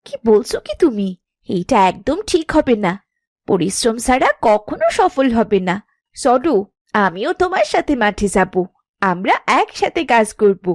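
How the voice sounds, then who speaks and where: A sensible young cartoon wife, speaks in a fairly high, very animated voice. She is earnest and gently chiding. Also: unhurried, dry recording, studio quality, exaggerated voice. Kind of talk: Bengali cartoon moral-tale acting.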